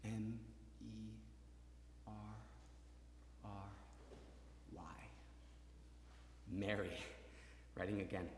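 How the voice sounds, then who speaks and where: An actor playing a gravely wounded young soldier in a stage drama, halting, repetitive and pleading, moving between murmurs and cries. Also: male voice, lowish voice, fairly even intonation, a little mellow. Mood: concentrating. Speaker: actor in a stage adaptation of a radio play. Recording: roomy hall, distant mic, faint noise.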